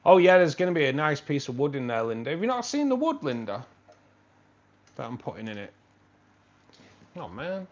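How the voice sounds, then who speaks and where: A man's voice: fairly high pitch, big pitch swings, normal speed, long pauses, highs cut off. A man hosting a live stream from a room with fish tanks, chatting casually and rambling, often repeating himself.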